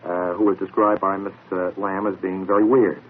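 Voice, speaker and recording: A man giving a hesitant, pause-filled answer as a guest armchair detective on a radio show. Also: low voice, level tone. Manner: halting, hesitant, many pauses. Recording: muffled, noisy vintage radio broadcast.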